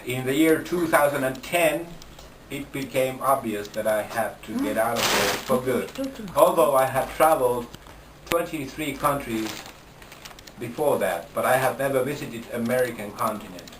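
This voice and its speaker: A man from Finland with a Finnish accent, talking slowly in an interview with many restarts. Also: medium pitch, noisy background. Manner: slow, halting, with repeated restarts. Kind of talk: casual interview talk. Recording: roomy sound from a distant mic.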